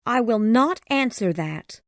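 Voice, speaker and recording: An anxious young woman, guarded and sorrowful, pleading in a raised, strained voice, as a male storyteller puts her on. Her speech swings up and down with lively pitch and drawn-out, slow phrasing. The sound is close and dry. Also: no room sound, exaggerated voice. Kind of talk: audiobook character voice.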